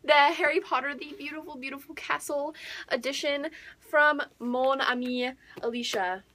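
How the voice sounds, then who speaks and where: A young woman chatting casually as a book vlogger, excitable and gushing, with dramatic emphasis and whispery asides. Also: high voice, moderate pace.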